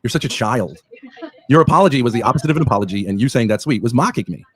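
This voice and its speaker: A blunt, argumentative man on an online panel, needling the other guests with sarcasm. His voice is husky and his pitch swings widely as he talks fast. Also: expressive, no echo. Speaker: online commentator in a panel debate.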